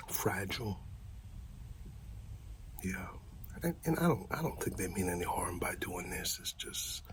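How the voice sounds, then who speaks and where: A man doing a casual ASMR roleplay as an easygoing, supportive friend, talking softly in a laid-back buddy way. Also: deep voice, a little hiss or hum. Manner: soft-spoken, half-whispered, laid-back buddy talk.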